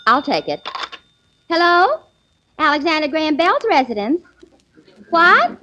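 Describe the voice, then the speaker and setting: A woman's voice: sits high, big pitch swings, unhurried, pushed and bright, lo-fi sound, exaggerated voice. A woman in a 1930s radio comedy sketch, playing a wife and chattering on the phone in a chirpy, sing-song manner. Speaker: regular cast member playing the wife. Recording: old radio broadcast with faint hiss.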